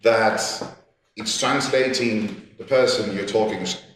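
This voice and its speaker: A man, speaking as a panellist in a discussion, halting and restarting, repeating words as he searches for them. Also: on the low side, steady tone, slight background noise. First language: Catalan. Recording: echoey gallery room, distant mic.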